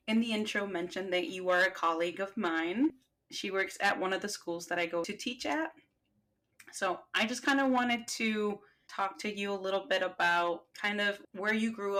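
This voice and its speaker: A woman's voice: mid-range voice, fairly bright tone. A woman hosting a podcast, talking casually with her colleague and filling her speech with "like" and "kinda". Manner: chatty, fillers like "like" and "kinda". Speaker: podcast host.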